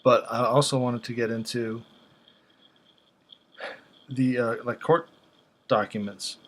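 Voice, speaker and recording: A man talks casually through examples in a flat, even voice. His delivery is unscripted and halting, as if thinking aloud while he browses, with very little rise or fall in pitch. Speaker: video host.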